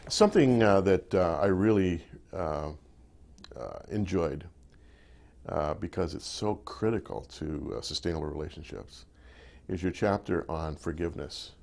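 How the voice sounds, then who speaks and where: A man hosting an interview, asking questions in a halting way with many fillers. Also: deep voice, creaky, a little mellow. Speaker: interview host. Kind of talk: interview conversation.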